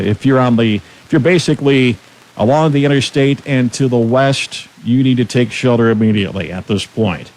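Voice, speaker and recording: An American man anchoring live severe weather coverage on radio, speaking haltingly as he improvises between read-out bulletins. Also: mid-range voice, a little mellow.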